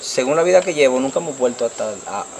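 Animated Dominican man, Puerto Rican accent. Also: mid-range voice, fast talker, slight background noise. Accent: Puerto Rican Spanish. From Dominican Republic. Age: young adult. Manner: animated, gesturing, repeats "tú me entiendes". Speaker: interviewee. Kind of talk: street interview storytelling. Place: outdoors at night by a wall. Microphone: handheld mic held by interviewer.

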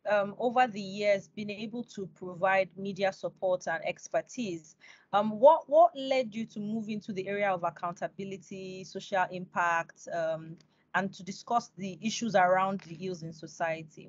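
A woman's voice: medium pitch, moderate pace, dry recording, lo-fi sound. A young woman hosting an interview podcast over a video call. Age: young adult. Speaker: podcast host. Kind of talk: scripted introduction and interview questions.

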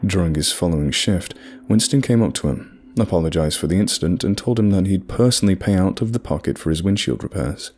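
A man narrating a horror story in a measured, scripted storytelling delivery. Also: deep voice, a little mellow.

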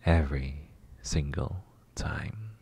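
Man playing a husky, slow-talking flirty neighbor. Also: very deep voice, expressive, darkish tone, heavily acted. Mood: flirty, teasing. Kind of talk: intimate roleplay ASMR.

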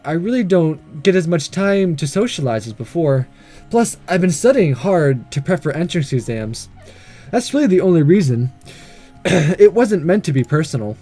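An earnest, apologetic teenage student from a romance game, read by a man. The voice is high and sincere, plainly delivered, with music in the recording. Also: dark tone.

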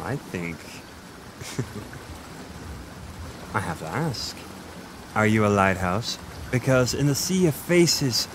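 A man playing a flirty, boastful Irish innkeeper, chatting in a meandering, intimate roleplay monologue with thoughtful pauses. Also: medium pitch, noisy background. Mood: playful, flirty.

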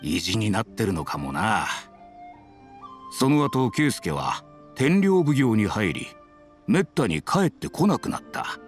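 A man voicing a gentle, reflective elderly father in an anime game, speaking in a measured, wistful way. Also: lowish voice, very animated, faint music behind.

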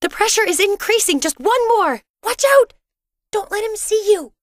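A woman's voice: extremely high pitch, lively intonation, normal speed, no room sound. An actress voicing a caring, exasperated wife and mother in a video game, speaking expressively and earnestly. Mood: alarmed, urgent.

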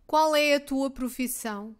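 Portuguese teacher lady, european accent, high voice, says everything twice real slow. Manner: reads each line twice, clearly and deliberately. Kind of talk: slow repeated phrase drills for learners.

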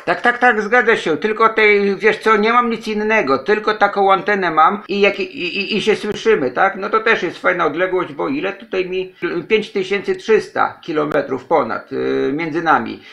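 A man's voice: high voice, moderate pace, vocal fry, hardly any pauses, bright, forceful tone. A man from Poland chatting casually as an amateur radio operator, repeating his phrases and spelling out call signs. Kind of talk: casual ham radio contact.

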